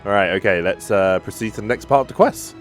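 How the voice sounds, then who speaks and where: A man's voice: lowish voice, fast talker. A man giving casual commentary as he streams a game. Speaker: gaming streamer. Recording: game music faintly behind. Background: game music.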